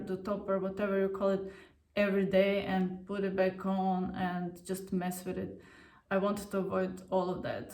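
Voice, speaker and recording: A woman in her thirties from Croatia, telling her own story to camera in a candid, unhurried way with frequent fillers. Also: medium pitch. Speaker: vlogger. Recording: roomy sound, mic at a distance.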